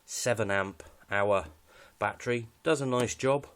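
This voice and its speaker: A man's voice: on the low side, measured pace, fairly bright tone, smooth. A British man giving a casual, unscripted walkthrough of his kit in a matter-of-fact, slightly hesitant way. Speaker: hobbyist presenter showing radio kit.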